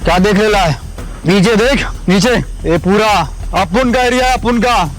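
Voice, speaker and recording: An actor playing a menacing, slangy street thug with a Mumbai accent in an audio drama. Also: male voice, sits high, normal speed, a little hiss or hum, close to an everyday voice, poor audio. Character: swaggering, threatening male street thug. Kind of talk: Hindi audio drama acting.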